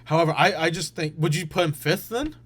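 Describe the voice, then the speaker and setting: A man's voice: on the high side, a little fast, a bit bright, breathy edge. Assertive young man debating sports. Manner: assertive, argues in bursts. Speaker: podcast co-host.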